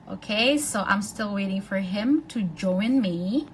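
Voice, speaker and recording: An Indonesian-accented woman hosting a phone live stream, chatting casually and gushing with excitement. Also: mid-range voice, unhurried, poor audio. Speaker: host of an Instagram live. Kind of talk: casual live-stream chat switching between two languages. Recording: phone live stream with faint ambient noise.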